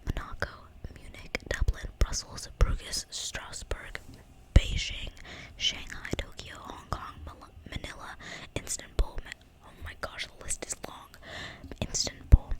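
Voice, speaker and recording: A woman speaks in a soft, breathy, intimate whisper, reading a book aloud in ASMR style with casual asides. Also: a little slow, poor audio. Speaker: ASMR video creator. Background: thunderstorm outside the window.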